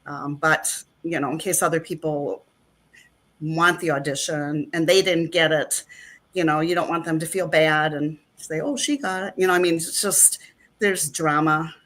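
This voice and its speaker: A woman's voice: low-pitched, normal speed, fairly bright tone. A middle-aged woman chatting as an interview guest, rambling with plenty of fillers. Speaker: actress and guest. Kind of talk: casual interview chat.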